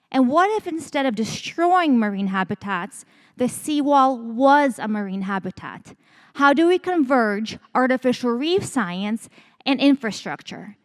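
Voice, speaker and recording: Woman founder from ukraine doing a stage pitch, bit high voice, upbeat and joking. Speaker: startup founder and CEO. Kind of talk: conference pitch talk. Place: conference stage.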